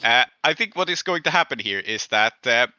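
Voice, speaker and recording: A man with a very bright, keen voice runs a tabletop game, explaining rulings conversationally. His pitch moves animatedly. The recording is dry and slightly dull. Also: lively intonation, brisk, smooth, no echo. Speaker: game master. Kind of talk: narrating and adjudicating a tabletop game, casual.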